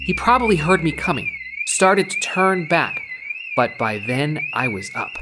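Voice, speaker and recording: A man reading first-person fiction dramatically, with animated, expressive delivery. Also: a little high, unhurried, heavy background noise. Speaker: short story reader. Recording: loud synthwave music bed. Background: synthwave music.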